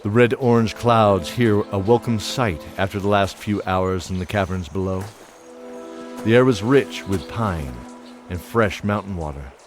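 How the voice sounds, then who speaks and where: A man with a low voice narrates a fantasy tale in a dramatic, measured way. It sounds like scripted audio drama, with clear music behind him.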